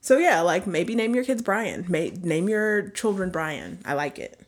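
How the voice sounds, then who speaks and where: A woman co-hosting a podcast in casual, jokey chat, laughing often and trailing off into quiet asides. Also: fairly low pitch, animated, a little slow. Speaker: podcast co-host.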